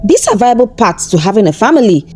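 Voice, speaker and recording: A woman voicing a concerned male doctor in a folktale, steady and somber. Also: on the high side, fast. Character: serious, concerned male doctor, gently grave.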